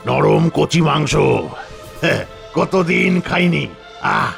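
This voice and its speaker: A man's voice: a little high, a bit bright, soft background music, exaggerated voice. A man voicing a menacing, hungry tiger in a children's cartoon, growling and savouring each word. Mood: hungry, gloating. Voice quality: growling, gravelly. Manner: slow, savouring, predatory.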